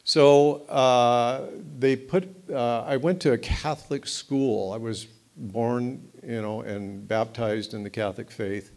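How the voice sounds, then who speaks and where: A middle-aged man telling his life story at a recovery meeting, halting and full of fillers and restarts. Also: medium pitch, fairly even intonation, darkish tone. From United States. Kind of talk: personal testimony at a meeting.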